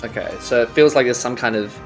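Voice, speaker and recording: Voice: mid-range voice, fast talker, over music. A player with a New Zealand accent in a tabletop game, eagerly asking about rules and laughing often.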